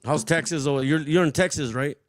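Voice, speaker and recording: A man's voice: fairly high pitch, fairly even intonation, a little fast, no echo. Hesitant, rambling man in his fifties. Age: 50s. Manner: rambling, hesitant with many fillers. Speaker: podcast host. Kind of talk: casual podcast chat.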